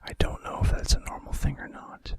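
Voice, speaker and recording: A man in a soft, breathy, unvoiced whisper, reading out facts in an unhurried, casual ASMR style, close to the microphone. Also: no room sound. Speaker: ASMR video host.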